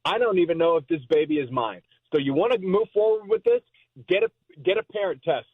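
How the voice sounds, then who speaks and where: A man talking in a phone conversation in a halting way, often repeating his words. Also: high voice, lively intonation, dry recording, phone-quality sound. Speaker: prank call target.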